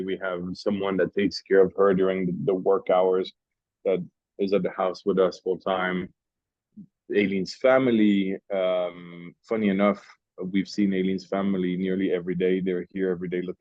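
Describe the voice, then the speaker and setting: A man from Switzerland, a guest at a lunch interview, telling a long story in a relaxed, rambling way with many restarts. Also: on the low side, no echo, dull audio. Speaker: interview guest, cigar maker. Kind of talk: casual lunch chat.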